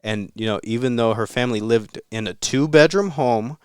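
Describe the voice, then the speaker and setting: A man's voice: mid-range voice, moderate pace, smooth, no room sound, studio quality. A man hosting a casual podcast, recounting a story in a loose, rambling way with casual swearing. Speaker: podcast host.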